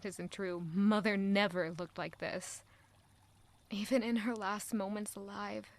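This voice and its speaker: A woman's voice: mid-range voice, little pitch movement, measured pace, lightly acted. An actress playing an anguished, proud young court lady in an audio drama, brooding intimately in a dramatic first-person monologue. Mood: subdued, uneasy. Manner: intimate, brooding, emotionally intense.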